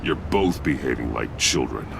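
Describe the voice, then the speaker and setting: A grim masked crimefighter from a video game, with a bottomless, heavily gravelled growl. He speaks in clipped, grave phrases, stern and brooding. A clear rumble sits behind the voice. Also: animated, normal speed, heavily acted. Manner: terse, grave. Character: brooding, stern masked male vigilante detective.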